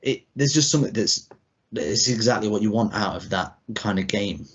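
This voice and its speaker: Low male voice, casual podcast co-host, rambling and informal, dull lo-fi sound. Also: expressive, fairly quick, breathy edge. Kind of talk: casual chat about retro cartoon video games. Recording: dull, muffled lo-fi audio.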